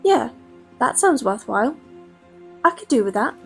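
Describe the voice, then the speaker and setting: An actress playing a grieving young woman, who speaks in a subdued, halting way in an audio drama. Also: on the high side, big pitch swings, soft background music.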